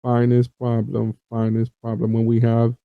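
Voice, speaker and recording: Haitian-accented male host, rough gravelly timbre, earnest and rambling, dull lo-fi recording. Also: mid-range voice, monotone, normal speed, no room sound. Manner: earnest, many fillers and repetitions. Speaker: host of an online talk show. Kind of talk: informal monologue to viewers.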